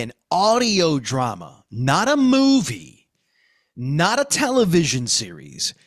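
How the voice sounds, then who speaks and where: An American man hosts a podcast in a fairly high, very animated voice. He is emphatic, pausing for drama on key words, and the sound is dry and close. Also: no echo. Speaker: podcast host. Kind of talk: scripted podcast presenting and discussion.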